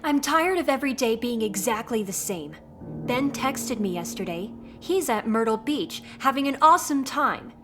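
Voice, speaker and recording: A woman's voice: on the high side, animated, normal speed, natural voice. A woman voicing a sulky, resentful young boy, whiny and aggrieved, in audiobook dialogue. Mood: frustrated. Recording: music bed behind the voice.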